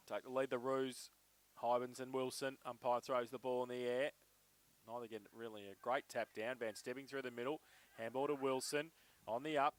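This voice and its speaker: Aussie man calling the footy play by play, medium pitched voice. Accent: Australian. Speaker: football play-by-play commentator. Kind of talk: live Australian rules football commentary.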